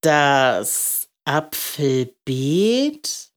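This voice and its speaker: A woman voicing a kindly, playful old male candlemaker in a storybook, talking in a gentle, ceremonious way. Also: sits low, very animated, exaggerated voice. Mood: puzzled, gentle. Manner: gentle, ceremonious, drawn-out questioning.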